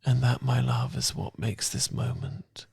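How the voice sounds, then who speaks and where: A British man performing soothing, intimate ASMR as a loving boyfriend, speaking softly and gently, at times near a whisper. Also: mid-range voice, no echo, clean studio sound. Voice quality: soft, breathy, gravelly, partly whispered. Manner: gentle, calming, intimate, unhurried. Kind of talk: romantic ASMR, soothing guided meditation. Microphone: close, dry ASMR miking.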